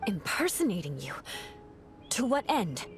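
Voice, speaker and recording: An actress playing a haughty, sharp-tongued young lady knight in an anime-style video game, formal and confrontational. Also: medium pitch, very animated, a little slow. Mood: stern suspicion. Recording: game music behind the voice.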